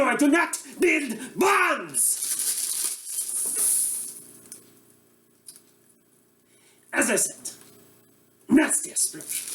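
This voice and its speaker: A woman playing a bumbling, shady male Eastern European TV cook who speaks slow, broken English and gets suspiciously defensive. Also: medium pitch, distant mic, a little hiss or hum. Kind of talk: comic cooking show parody. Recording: roomy, from the mic, faint ambience.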